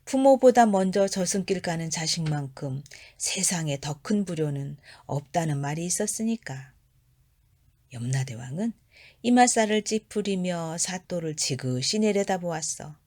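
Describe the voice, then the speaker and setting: A woman reading a folktale to children, in gentle, conversational narration. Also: on the low side, animated, unhurried. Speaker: storyteller.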